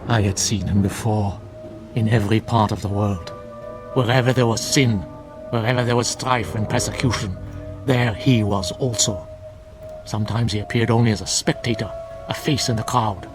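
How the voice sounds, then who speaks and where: An actor playing a grave, fervent older monk in a radio drama, speaking in formal, measured, preachy phrases. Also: male voice, on the low side, fairly even intonation, over music, natural voice. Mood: solemn storytelling. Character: solemn bearded older monk, fervent guardian of truth. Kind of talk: classic radio drama acting.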